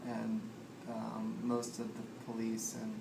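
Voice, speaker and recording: A young man born in India and raised in the US, a student giving a halting casual interview answer with frequent ums. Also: lowish voice, monotone, creaky. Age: young adult. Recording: echoey room with background noise.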